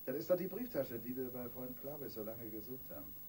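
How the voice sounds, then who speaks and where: An actor playing a wry, self-assured police detective in a radio crime drama, colloquial and needling. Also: male voice, medium pitch, animated, a little fast, mellow, close to an everyday voice. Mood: wry, pleased. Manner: colloquial, sardonic. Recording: radio play with faint noise.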